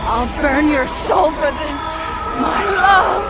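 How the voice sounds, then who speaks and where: High, echoing demon woman. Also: animated, takes it slowly, over a noisy crowd, exaggerated voice. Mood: agonized vengeful. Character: seductive demon woman, fierce and devoted servant. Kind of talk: fantasy video game voice acting. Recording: echoey, under very loud music.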